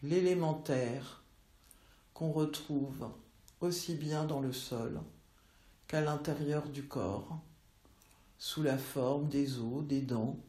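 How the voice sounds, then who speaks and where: Woman, yoga nidra teacher, very slow guided meditation, measured instructions, long pauses, echoey recording. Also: sits low, warm tone.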